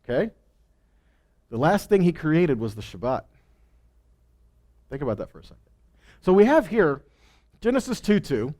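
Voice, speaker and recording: A man's voice: fairly high pitch, sing-song, moderate pace, long pauses, darkish tone. A man giving an informal sermon as a Bible teacher, emphatic and often checking in with listeners through short rhetorical questions. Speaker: preacher and Torah teacher.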